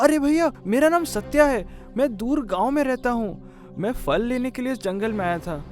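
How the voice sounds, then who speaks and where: A man plays a young village lad from a cartoon moral tale, with a fairly high voice. He sounds earnest and plaintive, a wronged stepson carrying his grief. Music plays clearly behind him. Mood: nervous, pleading. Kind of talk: Hindi cartoon moral-story voice acting.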